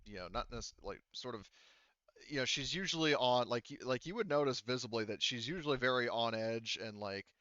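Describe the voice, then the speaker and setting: Bright, clear-voiced man running a tabletop game. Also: mid-range voice, no echo, dull audio. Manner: halting, conversational narrating. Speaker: game master. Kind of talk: improvised narration of a tabletop roleplaying game.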